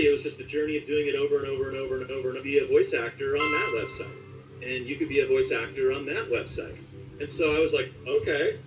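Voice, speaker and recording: A man in his late forties speaking as a voice actor being interviewed, telling stories in a conversational way. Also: medium pitch. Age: late 40s. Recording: roomy echo, distant mic, clear background noise.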